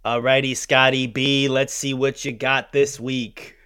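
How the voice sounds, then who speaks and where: A man's voice: mid-range voice, level tone, unhurried, bright, forceful tone, smooth, soft background music. A man hosting a podcast, talking casually in loose back-and-forth banter. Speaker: podcast host.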